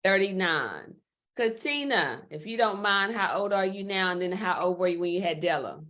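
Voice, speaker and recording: A woman's voice: mid-range voice, expressive, moderate pace, sharp tone. A woman hosting a livestream interview in an upbeat, encouraging way. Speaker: host.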